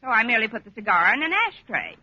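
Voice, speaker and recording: A woman playing a wry, quick-witted schoolteacher in a 1940s radio comedy, dry and wisecracking. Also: a little high, big pitch swings, bright, forceful tone, dry recording, exaggerated voice. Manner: dry, wisecracking, crisply articulated. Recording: dull, noisy 1940s radio recording.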